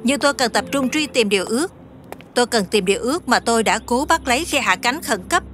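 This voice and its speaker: Casting: actress as naive alien wish-granter, female, young, earnest and blunt, brisk delivery, music underneath. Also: on the high side, lively intonation, close to an everyday voice. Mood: earnest, concerned. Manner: blunt, innocently literal. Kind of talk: dubbed fantasy film dialogue.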